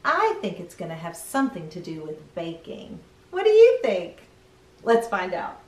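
A middle-aged woman hosting a children's read-aloud, expressive, reading picture-book lines and chatting to young viewers with questions. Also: fairly low pitch, moderate pace, a little hiss or hum. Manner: expressive, addressing small children with questions and exclamations. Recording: roomy echo, distant microphone.

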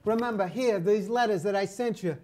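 A man's voice: sits high, fairly quick, darkish tone, pitched above the performer's own voice, lightly acted. A man with an American accent playing a desperate, sheepish detective in an improvised old-time radio play. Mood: sheepish, pleading. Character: desperate hard-up male detective, sheepish and devoted.

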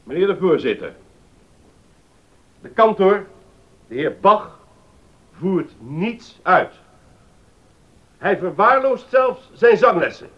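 A stern, accusing councillor in a period docudrama, high in pitch and swinging emphatically. The sound is dull and lo-fi. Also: male voice, sing-song, takes it slowly, pauses often, heavily acted. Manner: emphatic, formal, severe. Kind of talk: Dutch docudrama acting. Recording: dull lo-fi recording.

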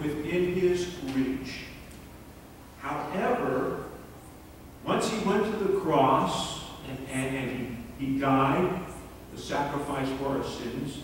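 A middle-aged man preaching a sermon from the pulpit of a church, earnest and measured, sometimes repeating his words. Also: fairly high pitch, slight background noise. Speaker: pastor. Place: church sanctuary, large. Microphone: far camera in the pews. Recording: echoey sanctuary from far off.

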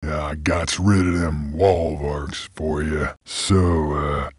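An actor playing a gruff, laconic creature bounty hunter in a video game, with a gravelly voice and terse, folksy cowboy drawl. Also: male voice, extremely low pitch, level tone, slow pace, no room sound, studio quality, exaggerated voice. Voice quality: gravelly, husky. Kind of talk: video game character voice lines.

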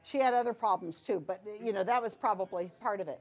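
A middle-aged woman speaking casually to an audience in a small pub room, animated and conversational with many asides. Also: medium pitch, fast talker. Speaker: guest speaker. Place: small wood-paneled pub back room.

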